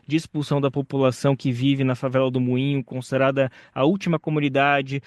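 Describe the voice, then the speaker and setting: A Brazilian man hosting an interview, speaking in a measured, reverent way. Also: mid-range voice, level tone, dry recording, clean studio sound. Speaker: interviewer host. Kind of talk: radio interview.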